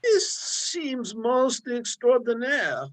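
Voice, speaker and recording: A woman's voice: a little high, very animated, very slow, full character voice. A woman playing a solemn, formal Frenchman, an indignant companion to a marquis, in a radio play. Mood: baffled, suspicious. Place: remote reading over a video call.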